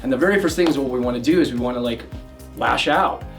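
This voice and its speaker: Loud, energetic male love coach. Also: mid-range voice, fast talker, creaky, recorded from a distance, faint music behind. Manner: loud, energetic, talks with many fillers and restarts. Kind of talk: direct-to-camera advice talk.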